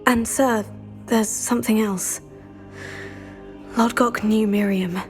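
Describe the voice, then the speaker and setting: A woman's voice: a little high, fairly even intonation, takes it slowly, faint music behind. An actress playing an earnest, curious young student witch, in a video game cutscene.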